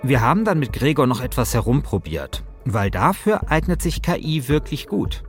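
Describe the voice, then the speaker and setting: A man's voice: medium pitch, big pitch swings, moderate pace, faint music behind. A man, narrating a podcast from a script as its host.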